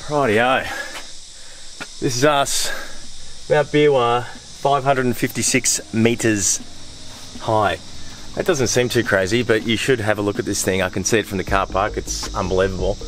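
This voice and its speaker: An Australian man in his thirties chatting casually and excitedly to camera outdoors, in exclamatory, slangy speech. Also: on the low side, normal speed, slight background noise. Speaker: vlogger. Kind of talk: casual vlog chat to camera. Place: outdoors on a mountain trail and car park. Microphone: handheld camera at arm's length.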